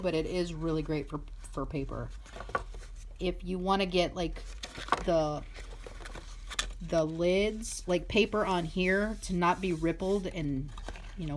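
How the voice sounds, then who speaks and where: A woman with a slightly low voice talks very slowly through a hands-on craft tutorial. She is informal and thinks aloud as she goes. The recording is plainly noisy, made at a table indoors. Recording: clearly noisy home recording with clicks.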